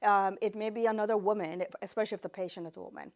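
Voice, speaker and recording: A woman's voice: mid-range voice, fairly even intonation, rapid-fire. Woman lecturing, native Gujarati speaker. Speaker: professor, researcher lecturing. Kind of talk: informal academic lecture with slides.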